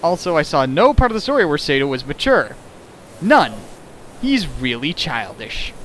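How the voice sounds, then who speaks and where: A man with a somewhat high voice hosts a casual dramatic reading. His delivery swings widely in pitch, and he riffs on the text in a playful, mocking way. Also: big pitch swings, moderate pace, fairly bright tone, a little hiss or hum, poor audio. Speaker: host of a fan fiction reading stream.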